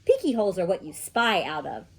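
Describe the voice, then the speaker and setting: Expressive woman reading a children's story. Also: lowish voice, a little fast, vocal fry, breathy edge. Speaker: teacher reading aloud.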